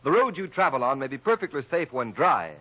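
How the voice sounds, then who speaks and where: A male 1930s radio announcer with a high voice and lively, sweeping intonation. He delivers his copy emphatically, and the vintage recording sounds muffled. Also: fairly quick. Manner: emphatic, declamatory.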